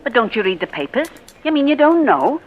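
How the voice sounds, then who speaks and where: A woman's voice: sits high, very animated, brisk, narrow, tinny audio. An actress playing a brusque, nosy working-class woman who calls people dearie, in a 1940s radio drama. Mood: gossipy. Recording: old radio broadcast with music.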